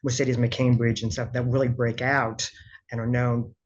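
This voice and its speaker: A man's voice: medium pitch, flat delivery, normal speed, lo-fi sound, slight background noise. A man interviewing a guest conversationally, with loose, informal phrasing.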